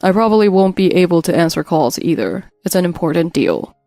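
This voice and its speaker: A woman's voice: fairly low pitch, very animated, fairly quick, dark tone, dry recording, clean studio sound, faint music behind. A woman voicing a cold, dismissive adult husband who brushes off his wife. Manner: curt, dismissive.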